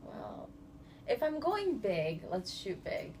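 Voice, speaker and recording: A woman from California in her thirties, answering interview questions in a relaxed, chatty way with lots of fillers. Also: medium pitch, slow pace. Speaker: guest. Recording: noisy indoor recording.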